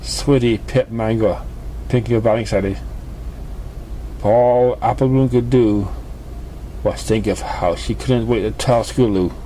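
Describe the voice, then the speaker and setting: A man narrating a scripted story as an amateur reader, halting and stumbling over words. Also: lowish voice, fairly even intonation, dark tone, slight background noise, rough recording. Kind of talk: scripted fan fiction narration.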